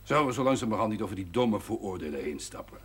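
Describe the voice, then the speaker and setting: A man's voice: lowish voice, lively intonation, quick pace, breathy edge. An actor playing a blunt, outspoken village doctor who lectures his patients, speaking Dutch with a hard northern G in an old TV drama soundtrack. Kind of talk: 1970s TV drama acting. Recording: 1970s TV soundtrack with faint hiss.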